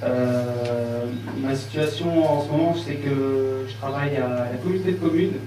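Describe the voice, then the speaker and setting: A man in his twenties from northern France introducing himself plainly, with some halting. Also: medium pitch, warm tone, soft background music. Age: 20s. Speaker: young panelist. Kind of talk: self-introduction. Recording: echoey hall, distant.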